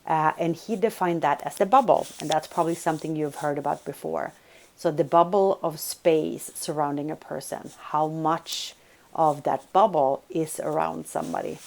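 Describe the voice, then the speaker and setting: A woman's voice: deep voice, vocal fry, darkish tone. A woman from Sweden, giving a recorded college lecture and checking in with listeners by asking "right?". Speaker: business communication lecturer.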